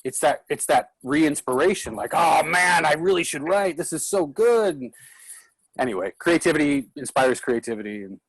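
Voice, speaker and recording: A man's voice: on the high side, lively intonation, normal speed, husky, dry recording, poor audio. A man moderating a casual discussion in an encouraging, conversational way. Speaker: actor moderating a Q&A.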